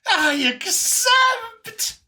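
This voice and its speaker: Super high whiny alien blob for an audiobook, shrieky, demanding, drags words out like a dramatic kid. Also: male voice, very animated, sharp tone, exaggerated voice. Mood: grandly determined. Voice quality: shrill, wailing. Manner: whiny, melodramatic drawn-out delivery. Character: childish, demanding alien blob criminal mastermind poet.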